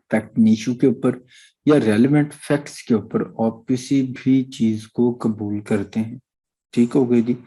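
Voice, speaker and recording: A man with a Pakistani accent teaching law in an online lecture, reading out statute and explaining it deliberately, often checking that listeners follow. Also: medium pitch, mellow, breathy edge, low-quality recording.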